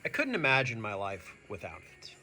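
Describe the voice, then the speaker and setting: Animated male teacher reading aloud, bright voice. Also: on the low side, brisk, soft background music. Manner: expressive, addressing young listeners directly. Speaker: teacher reading a picture book to students. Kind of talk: animated children's read-aloud.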